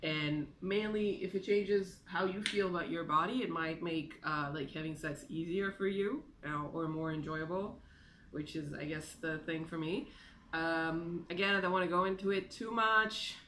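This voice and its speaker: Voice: medium pitch, fairly even intonation, normal speed, bright, forceful tone, a little hiss or hum. A native Hebrew speaker aged 32 talking casually and candidly in a personal vlog, with self-deprecating, jokey asides. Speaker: video blogger.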